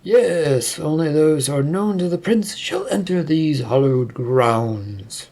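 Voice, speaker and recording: Man as stern, gravelly city gate guard. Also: a little high, expressive, slow, darkish tone. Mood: stern refusal. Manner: formal, curt, challenging.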